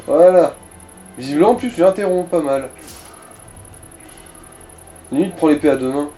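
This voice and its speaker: A man's voice: fairly high pitch, unhurried, mellow. A man chatting casually over a video game, explaining and advising the player. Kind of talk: casual chat over gameplay. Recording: echoey room, game music behind.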